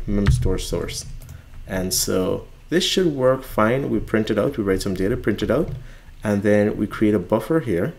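A man with a Guyanese accent teaching programming in a relaxed screencast, thinking aloud as he types. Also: fairly low pitch, creaky. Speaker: programming instructor. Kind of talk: screencast coding tutorial.

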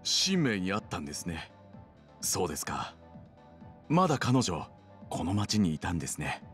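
Slick, polite street guide, game drama: deep register, highly animated intonation, salesman's patter. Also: normal speed, faint music behind. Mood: wary, reluctant. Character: smooth-talking, courteous male nightlife guide and wheeler-dealer. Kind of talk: Japanese video game cutscene acting.

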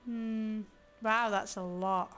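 A hoarse woman chatting casually as she streams a video game, thinking aloud and grumbling playfully. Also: mid-range voice, steady tone, takes it slowly, a bit bright, soft background music. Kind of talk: casual let's-play commentary.

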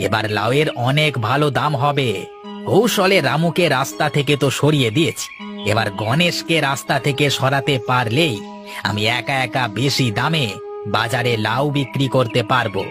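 A man voicing a scheming, jealous farmer in an animated moral story, with a gloating, conspiratorial manner. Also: mid-range voice, normal speed, lightly acted. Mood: plotting. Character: scheming, jealous male farmer plotting against rival growers. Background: background music.

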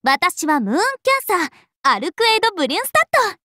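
A woman's voice: very high voice, sing-song, fast, sharp tone, dry recording, clean studio sound, heavily acted. A woman voicing a friendly, playful young vampire princess in a Japanese mobile game, speaking cheerfully and informally.

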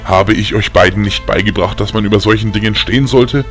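Man acting out a strict, low-voiced old grandfather. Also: a little fast, fairly bright tone, faint music behind, close to an everyday voice. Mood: admonishing. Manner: stern, lecturing, disappointed. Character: strict old grandfather, gruff but loving.

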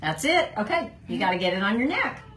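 A middle-aged woman gently encouraging and instructing a young child, like a therapist showing how a device works. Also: mid-range voice, very animated, brisk. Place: small indoor clinic room. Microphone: handheld phone camera at short distance. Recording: roomy, noisy phone video recording.

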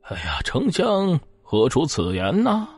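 A man's voice: on the high side, wildly swooping pitch, unhurried, breathy edge, faint music behind, heavily acted. An actor playing a prematurely aged, commanding yet anxious ancient Chinese king in a formal, imperious audio drama style. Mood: dismayed.

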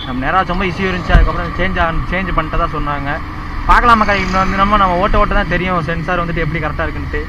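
A young man talks at a rapid clip in a slightly high voice. He rambles on to his viewers from an action camera on his moving motorbike. Clear road and wind noise runs under him the whole way. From India. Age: young adult. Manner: chatty, addresses viewers constantly, rambling repetitions. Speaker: motovlogger. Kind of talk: casual chat to viewers while riding. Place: outdoors on a moving motorbike, city streets. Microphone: helmet or chest action camera.

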